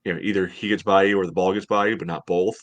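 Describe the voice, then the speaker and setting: Brief: male co-host, thirties, deep voice, dry close sound, casual match breakdown. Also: steady tone, fast, no echo. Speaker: podcast co-host. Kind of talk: casual sports analysis. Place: home room, on a video call.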